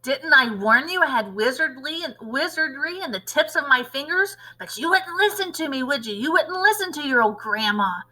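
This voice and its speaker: A woman voicing a scrawny, cantankerous ancient grandmother with a screechy voice, shouting in a gleeful, bossy way. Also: high voice, expressive, moderate pace, hardly any pauses, pitched above the performer's own voice, rough recording. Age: older.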